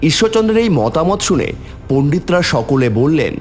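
A man's voice: on the high side, very animated, moderate pace, faint music behind. A man narrating a scripted story in a dramatic storytelling style.

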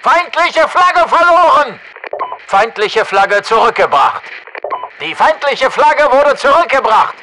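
Very high, radio-filtered soldier. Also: male voice, very animated, takes it slowly, pushed and bright, slight background noise, full character voice, poor audio. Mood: alert. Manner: clipped, alert reporting. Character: tense male soldier reporting over military radio. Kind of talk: military video game status callouts.

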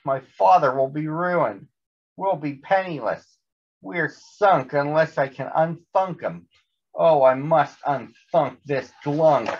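A man's voice: fairly high pitch, takes it slowly, lo-fi sound, pitched above the performer's own voice. A man voicing a frantic, worried little sister, crying out in protest in a storybook. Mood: panicked.

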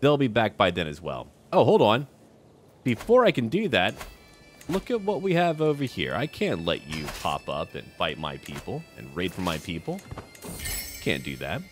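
Male gameplay host, low voice that moves around freely, chatting to himself and in-game characters over music. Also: wildly swooping pitch, normal speed. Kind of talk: casual playthrough commentary on a strategy game.

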